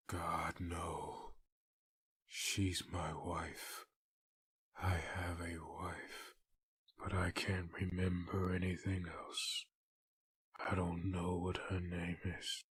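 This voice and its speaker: A haunted whispering antihero. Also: male voice, pushed and bright, husky, full character voice. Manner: low murmured inner monologue, whispering. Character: haunted brooding male antihero back from death. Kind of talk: fan comic dub acting.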